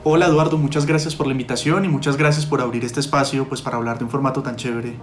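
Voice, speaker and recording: A man's voice: mid-range voice, fairly even intonation, fast talker. Man explaining, in an echoey room. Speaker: podcast producer, interview guest. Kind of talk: explanatory interview answers. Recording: roomy echo, faint music.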